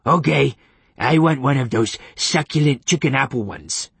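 Man playing male talking hound, creaky husky voice, guileless, eager, plain-spoken, audiobook. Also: mid-range voice, lively intonation, normal speed, dry recording, voice pushed up, heavily acted. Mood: eager, earnest. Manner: plain-spoken, naive, easily offended. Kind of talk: audiobook character acting.

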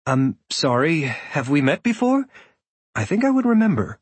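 A man voicing a young woman druid who asks quick, eager questions. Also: sits low, sing-song, a little mellow, husky, no echo, heavily acted. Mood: curious.

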